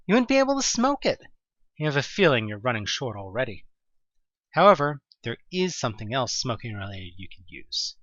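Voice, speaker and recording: A man's voice: on the high side, bright, forceful tone, dry recording, lo-fi sound. A man narrating a horror audio drama in the second person, with dramatic, wry storytelling.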